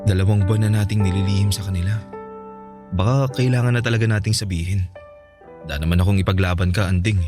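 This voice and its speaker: An actor playing an earnest, devoted young suitor in a Filipino radio drama, speaking insistently. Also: male voice, deep voice, quick pace, music in the background, lightly acted.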